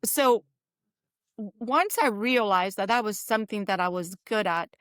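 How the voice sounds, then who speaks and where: A woman of around sixty with a French accent, a native Spanish speaker raised in Spain and Portugal, telling stories as an interview guest in a lively, chatty way. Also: mid-range voice, moderate pace, clean tone, no room sound, studio quality. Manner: lively, chatty, acts out other people's lines. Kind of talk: casual storytelling in an interview.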